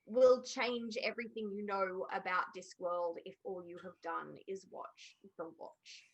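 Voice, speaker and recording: A woman's voice: medium pitch, fairly even intonation, measured pace, highs cut off. A woman on a podcast panel, talking casually and giving her opinions in an emphatic, insistent way.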